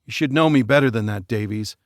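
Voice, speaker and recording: A man's voice: lowish voice, fast, dry recording, clean studio sound, close to an everyday voice. A man voicing a cocky, laid-back young male cop.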